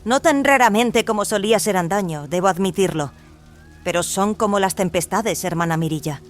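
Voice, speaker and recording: An actor voicing a gentle female clone in an audio drama, explaining things earnestly and pleadingly. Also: medium pitch, moderate pace, natural voice. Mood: pleading, uneasy. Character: soft-spoken, pleading female clone, peaceable and evasive. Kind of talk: dramatized audio fiction acting. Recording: music behind the voice.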